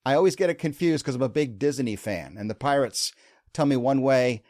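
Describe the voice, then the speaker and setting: A male podcast host keeps the interview upbeat and chatty, laughing easily. His voice sits at a middle pitch, and it sounds close and dry. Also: expressive, a little fast, a little mellow, dry recording.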